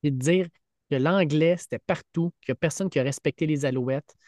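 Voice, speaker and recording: A man's voice: on the high side, brisk, a little mellow, dry recording. Earnest Quebec French man, dry sound. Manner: earnest, many fillers and restarts. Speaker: podcast co-host, teacher. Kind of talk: casual sports chat. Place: podcast studio.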